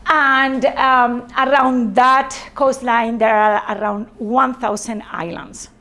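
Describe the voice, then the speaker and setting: A woman with a Spanish accent presents informally to a group. Her voice sits fairly high and has a marked creak. She speaks haltingly, going back to repeat or fix words. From Spain. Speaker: presenter, sailor.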